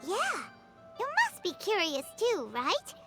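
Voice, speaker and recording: A woman voicing a tiny, excitable, nosy fairy companion in a video game, chattering in a bubbly high childlike voice. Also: a little slow, full character voice. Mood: curious. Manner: bubbly, speaks of herself in third person. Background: game music.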